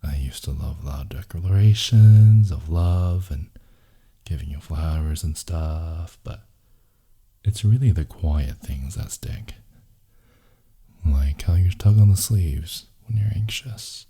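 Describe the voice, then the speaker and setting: A man playing a brooding, possessive, lovelorn boyfriend in an intimate ASMR roleplay, in a hushed, husky, gravelly voice that often slips into whispers. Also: deep voice, heavily acted. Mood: wistful, intimate. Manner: slow, hushed, intimate murmuring.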